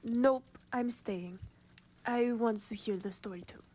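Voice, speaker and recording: A woman's voice: fairly high pitch, a little slow, a little mellow. A woman playing an earnest, stubborn young woman in a fantasy audio drama. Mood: eager. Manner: earnest, insistent.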